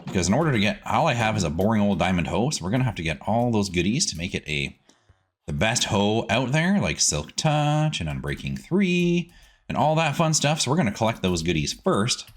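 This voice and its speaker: Man, gaming host, fairly low voice, highly varied pitch, playful casual commentary, talks to himself. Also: very animated, a little fast. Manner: playful, talks to himself, sprinkles silly words and sing-song asides. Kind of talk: casual game commentary, let's play.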